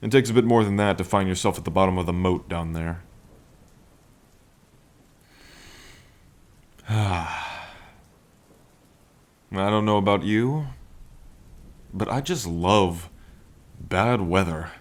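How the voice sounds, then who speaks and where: A man's voice: low-pitched, a little slow, pauses often. A man playing a playful, lovestruck dragon king in an intimate ASMR roleplay, with a languid, teasing and tender manner. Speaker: roleplay voice performer. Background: rain.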